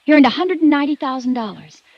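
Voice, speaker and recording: An actress playing a spoiled, pouting rich young woman in a 1950s radio drama, coy and teasing but quick to get defensive. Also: high voice, no room sound. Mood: upset. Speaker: rich horse owner's daughter. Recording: dull dry vintage radio recording.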